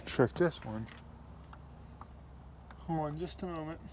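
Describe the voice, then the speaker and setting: A man's voice: on the high side, takes it slowly. Casual man narrating over faint noise. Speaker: radio hobbyist presenter. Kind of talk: casual hands-on demonstration talk. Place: indoors at night beside radio and laptop. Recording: home video with background noise.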